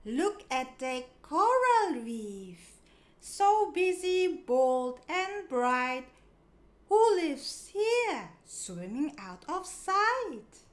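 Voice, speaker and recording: A woman reading a picture book aloud for young children, in a sing-song, clearly enunciated way. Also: sits high, big pitch swings, very slow. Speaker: children's storybook reader.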